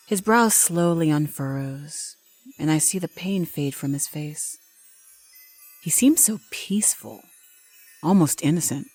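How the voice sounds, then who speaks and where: A woman's voice: low voice, no room sound. A woman playing a nurse in an audio drama, narrating theatrically in the first person, soothing on the surface and vengeful underneath. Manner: theatrical, swinging between cooing reassurance and private contempt. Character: vengeful nurse, sweetly soothing outside, cold and bitter within.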